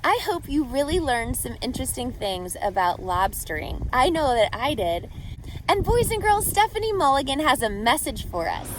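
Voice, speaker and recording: A woman reading a picture book aloud to children as a teacher, expressively, pausing to ask guiding questions and explain. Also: high voice, very animated, a little hiss or hum, low-quality recording.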